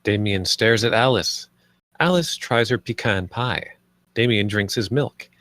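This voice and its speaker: A man's voice: fairly low pitch, measured pace, a bit bright, dry recording, studio quality. A man reading stage directions as the narrator of a film script read. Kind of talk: scripted narration, present tense.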